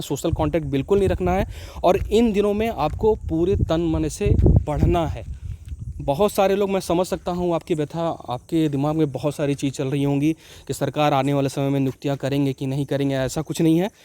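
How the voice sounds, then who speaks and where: A man with a fairly high, very mellow voice. He talks informally to students like a coaching host, reassuring them and repeatedly checking that they follow. The sound is close and dry. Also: no room sound. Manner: reassuring, repeats tag phrases to check understanding. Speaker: host of an exam-preparation channel. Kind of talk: informal explainer addressed to students.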